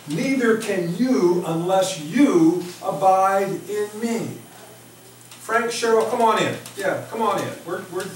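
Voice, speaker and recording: Middle-aged American man as a pastor: unhurried, reflective delivery with wide pitch swings, speaking informally to a congregation. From Oklahoma, United States. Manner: halting, reflective, with repeated words and emphatic pauses. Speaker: pastor preaching. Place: small church sanctuary, indoors. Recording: echoey church, distant microphone, faint noise.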